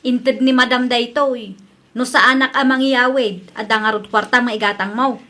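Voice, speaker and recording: A woman's voice: on the high side, fairly even intonation, moderate pace, pushed and bright. A woman with an Ilocano accent playing a chatty, eager housemaid and wife in a comedy audio drama. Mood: matter-of-fact, nagging.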